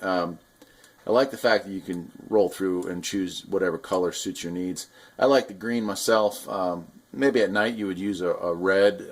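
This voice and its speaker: A man giving a casual, unscripted product review, explaining things hands-on in a rambling, enthusiastic hobbyist way. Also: low-pitched. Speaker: radio hobbyist reviewer and video host.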